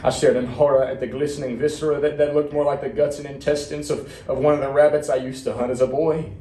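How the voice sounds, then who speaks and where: A man playing a haunted young Marine veteran, telling of war in a halting, stammering dramatic monologue with heavy pauses. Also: medium pitch, flat delivery, warm tone, close to an everyday voice. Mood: horrified, haunted. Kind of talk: serious dramatic interpretation monologue. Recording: roomy, distant mic, faint noise.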